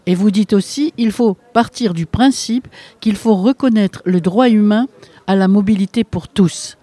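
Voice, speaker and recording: An interviewer asking long, halting questions with many restarts. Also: mid-range voice, warm tone, no room sound.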